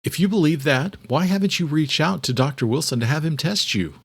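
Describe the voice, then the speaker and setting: A man's voice: on the high side, lively intonation, fairly quick, gravelly, husky, pitched above the performer's own voice, exaggerated voice. A man voicing a confident, insistent woman in an audiobook, explaining things emphatically. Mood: reluctant, earnest.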